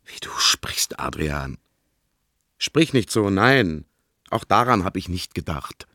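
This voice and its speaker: An earnest, confiding man, low-voiced. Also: very animated, slow pace, creaky, bright, forceful tone, dry recording, exaggerated voice. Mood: hurt, hesitant. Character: amiable, earnest, hesitant man confiding in his friend. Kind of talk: German radio play acting.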